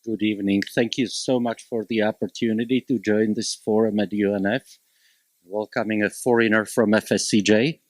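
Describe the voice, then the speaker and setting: Man, Slovak accent, deep-ish voice, panel speaker, hesitant but emphatic, dry mic. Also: fairly even intonation, no room sound. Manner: measured, emphatic, with frequent hesitations. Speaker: panelist from a local college. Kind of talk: panel talk at a public forum.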